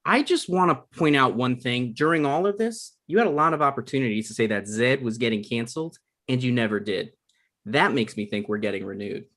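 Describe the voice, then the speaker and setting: Insecure, defensive thirty-something comedy writer played by a man, animated intonation, sitcom table-read delivery. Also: medium pitch, fairly quick, natural voice. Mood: defiant. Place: remote online table read over internet.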